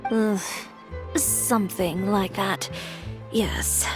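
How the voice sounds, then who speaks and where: A woman's voice: mid-range voice, fairly even intonation, very slow. A woman playing a self-assured, blunt young fashion designer in a video game, speaking in a cool, dry, businesslike manner. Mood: calm, assured. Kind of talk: video game dialogue acting. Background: background music.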